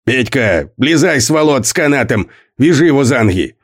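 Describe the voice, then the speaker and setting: A man's voice: mid-range voice, animated, normal speed, pitched above the performer's own voice, natural voice. A man voicing a coarse, brutal middle-aged foreman who bullies and barks at boys, read as part of an audiobook. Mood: barking. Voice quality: coarse, bullying, barking. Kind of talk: audiobook character voice.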